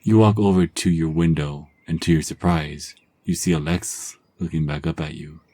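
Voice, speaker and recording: A man tells a second-person story in an extremely deep voice with a husky edge. His delivery is calm and unhurried, meant to soothe, and it sinks into hushed whispers at the quietest moments. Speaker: narrator.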